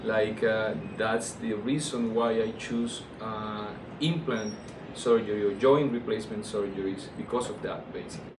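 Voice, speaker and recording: A man from Colombia, a native Spanish speaker with a Colombian accent, answers interview questions as a surgeon in halting phrases with repeated words. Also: fairly low pitch, fairly even intonation. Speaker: orthopedic surgeon being interviewed. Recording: roomy, distant mic, air-conditioner hum.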